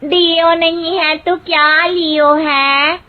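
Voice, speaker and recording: Cartoon village bride, played by a woman: literal-minded, cheerful, very slow delivery, thin phone-like sound. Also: very high voice, steady tone, pitched above the performer's own voice. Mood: cheerful, naive. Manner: slow, artless, rustic phrasing. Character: naive, cheerful village daughter-in-law, literal-minded and self-assured. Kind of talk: comic cartoon story acting. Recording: muffled, phone-like narrow sound.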